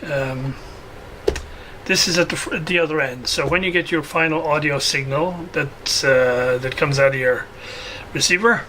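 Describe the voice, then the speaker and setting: Bright-voiced man talking through a hobby project. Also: on the high side, normal speed, a little hiss or hum, poor audio. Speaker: hobbyist video host. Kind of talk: casual unscripted project walkthrough.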